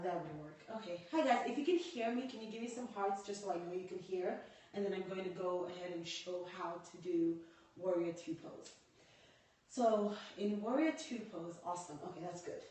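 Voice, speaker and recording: A hoarse woman with a cold, teaching a live yoga lesson and talking viewers through a pose as she demonstrates it. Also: medium pitch, darkish tone. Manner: talks through poses while demonstrating, stops to greet viewers. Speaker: yoga instructor. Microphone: camera set back across the room. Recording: echoey room, voice far from the mic.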